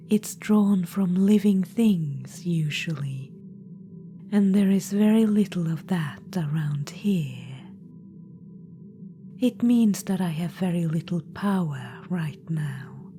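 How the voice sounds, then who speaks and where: Scheming undead witch-queen, whispery and slow, low dark tone, patronizing and purring, voiced by a woman. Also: lively intonation, soft background music. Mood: whispered, scheming. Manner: purring, patronizing, calls listener darling. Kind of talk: ASMR audio roleplay.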